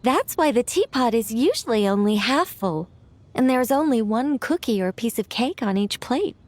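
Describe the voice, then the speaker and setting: A woman's voice: fairly high pitch, animated, normal speed, a little mellow, no echo, lightly acted. A woman playing a genteel, hospitable witch in a video game, polite, precise and faintly prim. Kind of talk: video game cutscene acting.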